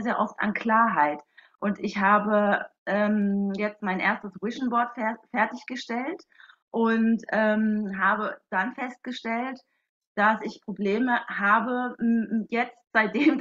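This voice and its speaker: A woman's voice: mid-range voice, steady tone, slow, fairly bright tone. A woman taking part in an online seminar, hesitantly putting a personal question in her own words. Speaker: seminar participant. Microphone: online call microphone. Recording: dull, lo-fi online call audio.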